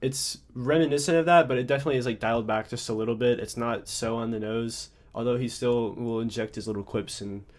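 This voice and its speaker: A man's voice: medium pitch, fairly even intonation, brisk, few pauses. Young adult man, congested, rambling and casual; off-the-cuff reviewer. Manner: rambling, many fillers and restarts. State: congested, slightly stuffy. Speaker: book reviewer.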